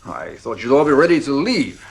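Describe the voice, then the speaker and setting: An actor playing a genial, unsuspecting family acquaintance in a 1950s radio drama, with a hesitant, musing manner. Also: male voice, mid-range voice, sing-song, normal speed, creaky. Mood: puzzled.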